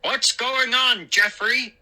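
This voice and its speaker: A woman's voice: deep voice, sing-song, slow, pushed and bright, husky, full character voice, poor audio. A woman voicing a stern, exasperated father in an audiobook, speaking in a snapping, scolding manner. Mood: alarmed, scolding. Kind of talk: audiobook character dialogue.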